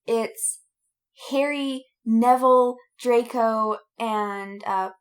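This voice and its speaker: Female co-host with a somewhat high voice. Enthusiastic, informal fan chat, slangy delivery. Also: extremely slow. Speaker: podcast co-host. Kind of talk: casual fandom chat.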